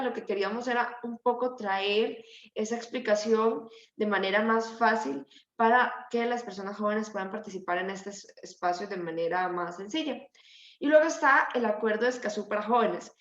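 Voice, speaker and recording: A woman's voice: on the high side, a bit bright. A woman with a Costa Rican accent giving an informal explanatory presentation over an online call. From Costa Rica. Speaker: presenter, climate activist. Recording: dull, echoey online call audio.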